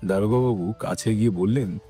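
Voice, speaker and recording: A man's voice: fairly low pitch, fairly quick, dark tone, faint music behind. A man narrating a scripted dramatic story as the narrator of an audio production.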